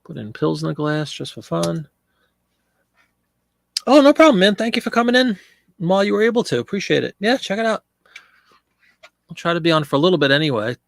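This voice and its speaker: A man's voice: on the high side, big pitch swings, brisk, vocal fry, long pauses, no echo. A middle-aged man with a head cold, sounding congested, chatting casually on a live stream and answering viewers as he lists items for sale. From Pennsylvania. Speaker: live-stream eBay seller.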